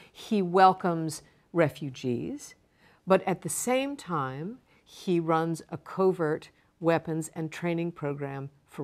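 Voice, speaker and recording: Low-voiced woman explaining refugee crisis. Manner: measured, explanatory, emphatic repetition. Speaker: guest expert on refugees. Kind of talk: broadcast interview answers.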